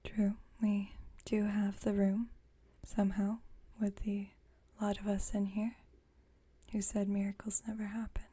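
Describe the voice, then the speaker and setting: A woman playing a gentle, wry, nostalgic vampire roommate in an ASMR roleplay, in a soft, breathy whisper and an unhurried manner. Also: medium pitch, completely flat delivery. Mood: fond, gentle.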